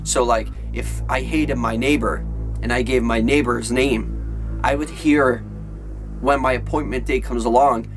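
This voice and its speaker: Male video host, casual and hesitant delivery, lowish-to-middle everyday pitch, clear music bed behind. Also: steady tone, unhurried. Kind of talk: casual chat to viewers.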